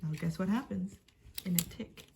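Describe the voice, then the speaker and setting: A woman storyteller giving a dramatic reading of a rhyming picture book, expressive, with hushed and emphatic passages. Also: lowish voice, moderate pace. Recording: faint background noise.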